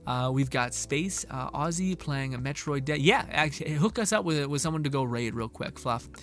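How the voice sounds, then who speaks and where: A man streaming and chatting casually with viewers, rambling with many restarts, his voice a little hoarse. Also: a little high, lively intonation, normal speed, a little hiss or hum. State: slightly hoarse. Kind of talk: casual stream chat, reading chat.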